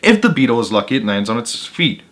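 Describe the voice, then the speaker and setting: A man narrating as a storyteller, reading aloud for children in an animated style. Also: medium pitch, fast talker. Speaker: storyteller, channel host.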